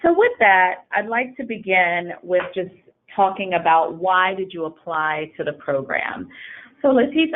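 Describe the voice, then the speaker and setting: A woman hosting a semi-scripted webinar, introducing and questioning guests as a programme director. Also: lowish voice. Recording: thin phone-like line.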